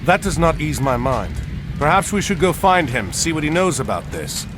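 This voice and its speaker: A bold ancient warrior leader in a game cutscene. His voice is fairly high for a man and very lively, declamatory and resolute, with music behind it. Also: normal speed. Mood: wary, grave. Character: bold, commanding middle-aged male Atlantean warrior hero. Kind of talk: scripted video game cutscene acting.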